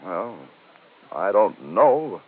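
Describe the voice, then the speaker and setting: A man's voice: fairly low pitch, big pitch swings, extremely slow, slightly rough, full character voice. A man playing an earnest, easily flustered defense attorney in an old radio drama, on a muffled recording. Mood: puzzled, doubtful. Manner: exclamatory, puzzled. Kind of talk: 1940s radio drama acting.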